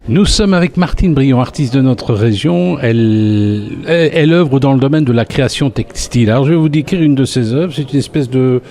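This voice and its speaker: A man's voice: medium pitch, moderate pace, hardly any pauses, dark tone. A man hosting a local radio interview, repeating his introduction and stumbling over restarts in a chatty way. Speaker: radio host.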